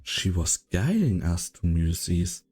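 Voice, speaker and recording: A man playing a grave, husky-voiced part and narrating in a fan-dubbed game drama. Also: deep voice, sing-song, slow pace, darkish tone, soft background music, heavily acted. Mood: grave, steady.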